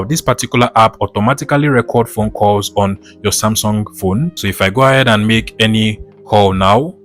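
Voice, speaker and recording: A man's voice: lowish voice, a bit bright. A man narrating a step-by-step tech tutorial in a methodical, instructional manner. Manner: methodical, instructional, repeats set phrases. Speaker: tutorial host.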